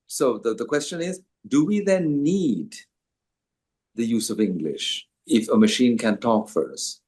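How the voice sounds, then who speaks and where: An older man who is an author, answering questions in a relaxed conversation and pausing often with fillers and restarts. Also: medium pitch, breathy edge. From Sri Lanka. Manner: hesitant, many fillers and restarts.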